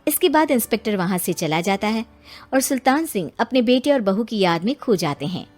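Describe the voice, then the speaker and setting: Woman story narrator, lively swoopy delivery, faint music behind. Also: mid-range voice, fairly quick.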